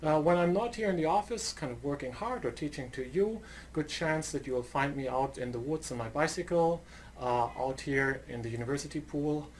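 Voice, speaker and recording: A man's voice: fairly high pitch, moderate pace, a little hiss or hum. A middle-aged man from Germany with a German accent, a professor giving an earnest online course lecture with frequent fillers and restarts.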